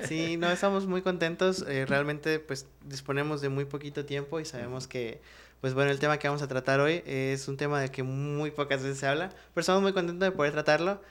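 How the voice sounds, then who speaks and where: A man's voice: fairly high pitch, steady tone, a little fast, fairly bright tone, clean tone. A man co-hosting a student podcast, friendly and upbeat, laughing often as he talks with his guests. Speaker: podcast co-host.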